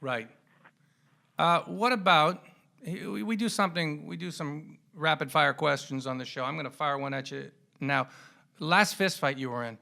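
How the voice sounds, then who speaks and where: Male interviewer, middle-aged, slightly high-pitched with strong gravel, conversational podcast questioning. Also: moderate pace, sharp tone.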